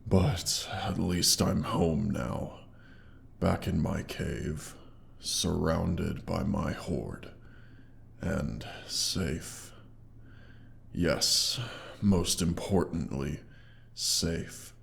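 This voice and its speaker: A man voicing a haughty, menacing dragon guarding his hoard in a scripted audio drama, with theatrical pauses and monster effects on the voice. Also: sits low, gravelly, exaggerated voice. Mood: weary, brooding. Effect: monster voice processing.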